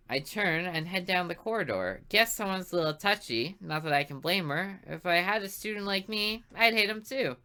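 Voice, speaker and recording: A woman's voice: on the low side, sharp tone. A woman hosting a gaming video, reading a visual novel aloud expressively with her own asides.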